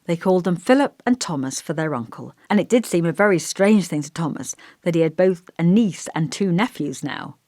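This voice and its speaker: Expressive, lowish-pitched woman audiobook narrator. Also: brisk, few pauses, no echo, studio quality. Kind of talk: scripted first-person literary narration.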